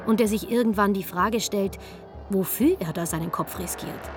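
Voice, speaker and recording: Wry female narrator, medium pitch. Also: normal speed, over music. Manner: wry, colloquial asides. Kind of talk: scripted comic documentary narration.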